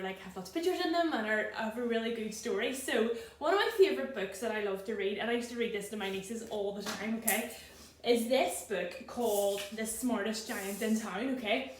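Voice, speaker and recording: A woman chatting casually and gently to children as a storyteller. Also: fairly high pitch, echoey room. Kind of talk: casual chat to children, reading a picture book aloud.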